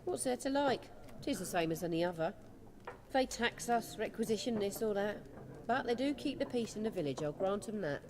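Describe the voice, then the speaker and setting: A woman's voice: medium pitch, expressive, normal speed, crowd noise, close to an everyday voice. An actress playing a weary, blunt village woman who trades, in a video game. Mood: grudging.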